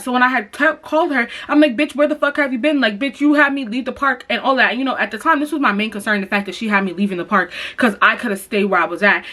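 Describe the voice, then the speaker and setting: A young woman with a deep voice telling a story to her viewers in a blunt, profane, animated way, acting out the lines of the people in it. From United States. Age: young adult. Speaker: YouTuber. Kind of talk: casual storytime vlog.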